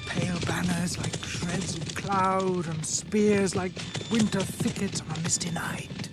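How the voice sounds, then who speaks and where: A man's voice: high voice, fairly even intonation, slow. An actor playing an awestruck male seer in a radio drama, intoning with eerie intensity. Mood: eerie awe. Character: awestruck male seer describing ghostly riders. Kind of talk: BBC radio drama acting. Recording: radio drama with music and hoofbeats behind.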